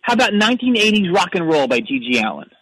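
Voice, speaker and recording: An actor playing a brash, combative caller who rambles about rock music and picks fights. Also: male voice, sits high, big pitch swings, quick pace, fairly bright tone, husky, no echo, heavily acted, rough recording. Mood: chummy. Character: brash hotheaded man obsessed with rock. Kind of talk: comedic character phone call.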